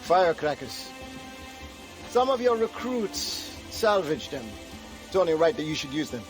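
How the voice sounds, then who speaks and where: A man's voice: on the high side, big pitch swings, measured pace. An actor playing the earnest leader of a community of freed slaves, weighing his words and dropping French Creole proverbs. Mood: resolute. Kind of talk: video game cutscene acting. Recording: game cutscene with music behind.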